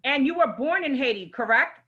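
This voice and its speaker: A woman hosts a live interview show and questions her guest earnestly and emotionally. Her voice is bright and forceful and sits a little high. The audio is dull and lo-fi. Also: animated, a little fast. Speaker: show host.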